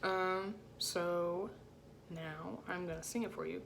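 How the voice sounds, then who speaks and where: A woman with a low-set voice giving an informal music rehearsal lesson as a choir section leader, in a casual, encouraging way with hesitant phrasing. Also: fairly even intonation, slow pace, gravelly, slight background noise. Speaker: choir section leader teaching alto parts.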